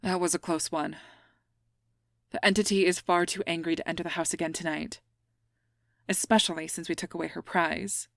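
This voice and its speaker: Woman playing a stern, low-voiced team boss. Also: fairly even intonation, moderate pace, gravelly, long pauses, fairly bright tone, no room sound. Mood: stern, composed. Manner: authoritative, dry, clipped orders. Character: stern, exacting female boss of ghost hunters. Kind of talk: audio roleplay acting.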